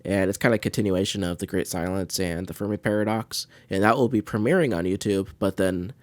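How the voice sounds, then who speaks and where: A man's voice: low voice, a little fast, no room sound. A man hosting a podcast, chatting casually to listeners in a rambling, laid-back way. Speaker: podcast host. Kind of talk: casual unscripted chat to listeners.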